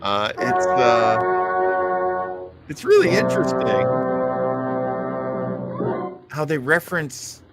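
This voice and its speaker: A man's voice: a little high, very animated, drawn-out words, vocal fry, long pauses, loud music behind the voice. Casual, enthusiastic male show host. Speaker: host of an old-time radio show. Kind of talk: casual chat to a live audience.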